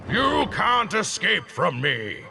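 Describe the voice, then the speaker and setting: A woman booming as a stern immortal sage. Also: big pitch swings, slow pace, a little hiss or hum, heavily acted. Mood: commanding. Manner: commanding and booming. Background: soft music.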